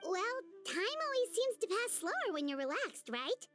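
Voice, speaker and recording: A woman voicing a tiny, curious fairy companion in a video game, squeaky, childlike, expressive and cartoonish. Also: normal speed, faint music behind, full character voice. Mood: puzzled.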